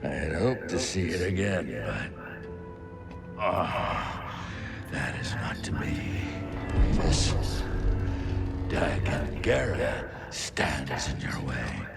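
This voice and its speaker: An ancient alien warrior speaks in a cavernously low, gravelly voice. He is proud, solemn and resigned, with very drawn-out yet expressive delivery in a game cutscene, under loud music. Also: exaggerated voice. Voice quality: very deep, gravelly. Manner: slow, solemn, deliberate delivery.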